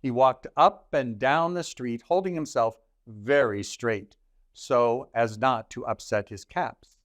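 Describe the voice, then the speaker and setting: A man reading a children's story aloud in deliberate, expressive phrases with dramatic pauses. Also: mid-range voice, dry recording, clean studio sound. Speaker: storybook reader.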